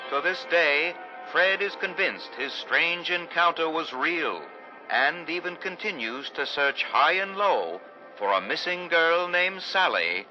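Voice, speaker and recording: An actor playing an ominous, theatrical narrator of a vintage radio suspense serial. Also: male voice, fairly high pitch. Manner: theatrical, ominous, deliberate emphasis. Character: dramatic old-time radio host, ominous and theatrical. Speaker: announcer and narrator. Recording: muffled vintage radio sound, loud music.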